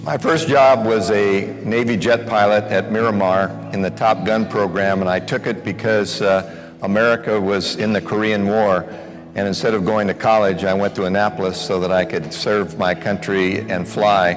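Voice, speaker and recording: Older guy, real deep voice, storytelling answer, echoey hall and music. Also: steady tone, moderate pace. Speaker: speaker. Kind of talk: answering audience question.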